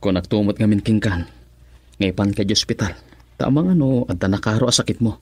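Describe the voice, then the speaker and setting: Concerned young Filipino man for radio drama: fairly low voice, reassuring, dry sound. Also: brisk, darkish tone, slightly rough, no room sound. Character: concerned young man tending a sick woman. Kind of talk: Ilocano radio drama acting.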